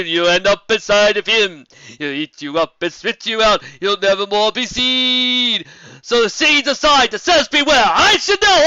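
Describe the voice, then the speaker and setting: Sing it high and bright, slow and rhyming, as the man voicing a solemn zebra storyteller. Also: no echo, full character voice. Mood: mocking. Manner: sings in slow rhyme. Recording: dull lo-fi audio.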